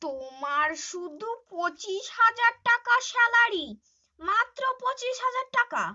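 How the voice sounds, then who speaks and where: A woman acts out a carefree young wife from a puppet tale. The voice is extremely high and bright, swinging widely and drawing words out slowly. The audio is dull and lo-fi. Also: expressive, exaggerated voice. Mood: indignant. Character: carefree young daughter-in-law puppet fond of makeup. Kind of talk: puppet show moral story acting.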